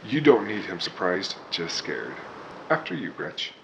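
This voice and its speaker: A man playing a gruff, reluctant hero in a radio drama, with wisecracking tough-guy talk. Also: low voice, sing-song, measured pace, a bit bright, a little hiss or hum, exaggerated voice, rough recording. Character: gruff reluctant hero, a baker and war veteran. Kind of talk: 1940s radio drama acting.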